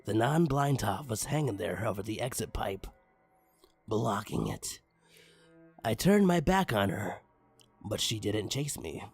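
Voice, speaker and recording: A man with a slightly low, husky voice tells a comedic story in a dramatic narrator's style. He plays it mock-serious, with sudden swings of emphasis. Faint music runs underneath. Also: very animated, unhurried, long pauses. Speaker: narrator reading a parody creepypasta story aloud. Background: background music.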